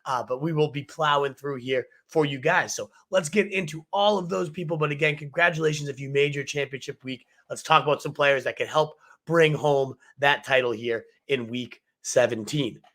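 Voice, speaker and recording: Hoarse, excitable sports show host. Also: male voice, a little high. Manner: excited, fast-talking hype. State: hoarse from a cold. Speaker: fantasy football show host. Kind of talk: energetic livestream sports advice.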